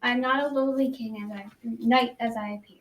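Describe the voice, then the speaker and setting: A woman's voice: a little high, fairly even intonation, fairly quick, recorded from a distance, close to an everyday voice. A teenage girl playing a proud, valiant old king in a school radio drama, with formal, archaic speech. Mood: pleading.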